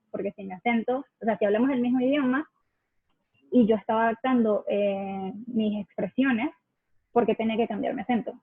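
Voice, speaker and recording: A woman from Venezuela with a Venezuelan accent, speaking reflectively as a guest, with many pauses and restarts. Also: on the high side, breathy edge, dry recording, narrow, tinny audio. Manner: reflective, many fillers and self-corrections. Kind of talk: conversational interview.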